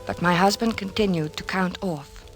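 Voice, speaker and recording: Actress as an anxious wife telling a suspense tale. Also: female voice, on the low side, close to an everyday voice. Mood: tense, suspenseful. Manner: measured, suspenseful storytelling. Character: anxious, troubled wife recounting her ordeal. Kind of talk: 1940s radio acting, dramatic first-person narration. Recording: old radio recording, music behind.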